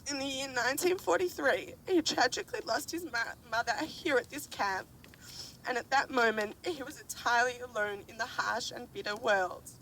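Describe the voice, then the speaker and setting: Brief: woman, fairly high voice, prepared tribute, distant mic with faint rumble. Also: normal speed, a bit bright. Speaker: survivor's grandchild giving a speech. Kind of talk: prepared memorial speech.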